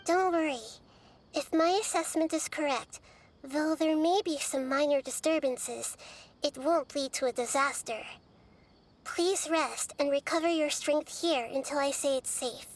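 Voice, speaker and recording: High-voiced, gentle little goddess. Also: female voice, slight background noise, low-quality recording. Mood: reassuring. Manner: measured, reassuring, formal. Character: small, gentle childlike goddess, calm, wise, composed. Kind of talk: video game voice acting.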